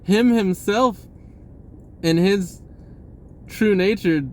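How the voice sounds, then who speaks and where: A man's voice: sits high, drawn-out words, a little mellow, slight background noise. A man talking casually about spirituality in a rambling way, repeating words and swearing offhand. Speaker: spiritual vlogger.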